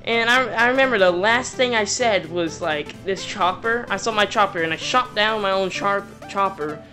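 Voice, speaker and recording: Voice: medium pitch, normal speed, sharp tone, faint music behind. A YouTuber telling a personal story in a casual, rambling, slangy way. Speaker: YouTuber narrating a personal story over gameplay.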